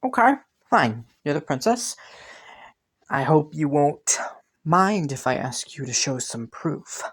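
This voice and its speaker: A man's voice: on the high side, big pitch swings, slow, fairly bright tone, husky, full character voice. A man playing a haughty, teasing young crown prince in a romantic fantasy audio roleplay, suspicious yet intrigued, with a smug manner. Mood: teasing, skeptical. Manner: teasing, smug, chuckling and sighing between phrases.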